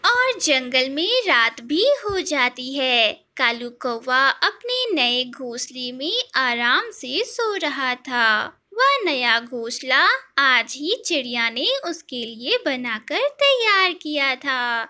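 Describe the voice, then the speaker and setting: A woman's voice: high-pitched, big pitch swings, slow pace, hardly any pauses, pushed and bright. A woman narrating a scripted children's story.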